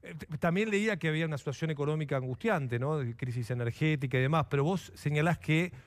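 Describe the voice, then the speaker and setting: A man's voice: on the high side, fast talker. A man with a Rioplatense Spanish accent hosting an interview and putting questions to a guest. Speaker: interviewer, host. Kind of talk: broadcast interview.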